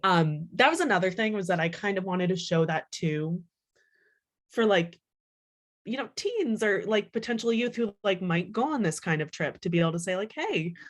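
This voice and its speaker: A woman's voice: mid-range voice, moderate pace. A woman author being interviewed, chatting casually with lots of "like" and acting out little quotes as she explains. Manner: chatty, animated self-quoting, peppered with "like".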